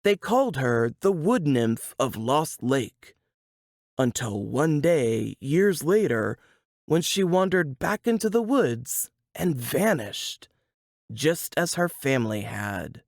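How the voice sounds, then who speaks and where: A woman reading a suspense novel aloud in a dramatic, deliberate storytelling manner. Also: rumbling low, sing-song, darkish tone, no echo, studio quality. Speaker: author reading from their novel.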